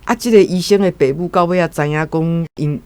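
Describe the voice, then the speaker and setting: Mid-pitched Taiwanese commentator. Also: a little fast, warm tone, clear, resonant tone, no room sound. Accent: Taiwanese Hokkien. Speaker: guest commentator. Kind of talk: informal retelling and commentary.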